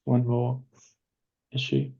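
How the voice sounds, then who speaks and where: A man's voice: mid-range voice, monotone, slow pace, mellow, dull audio. A man hosting a forum discussion, thanking contributors briefly and inviting more to share. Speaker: host. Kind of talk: moderating a forum.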